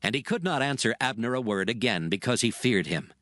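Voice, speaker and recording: A man narrating dramatized scripture as a scripted reading, in a solemn, measured manner. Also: mid-range voice, lively intonation, a bit bright, no echo, clean studio sound. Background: background music.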